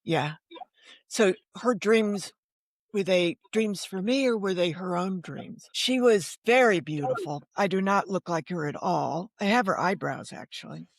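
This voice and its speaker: A woman's voice: mid-range voice, lively intonation, measured pace, dry recording. An older woman from the United States telling personal stories in conversation, candid and self-deprecating, with rhetorical asides. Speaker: novelist, interview guest. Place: remote video call.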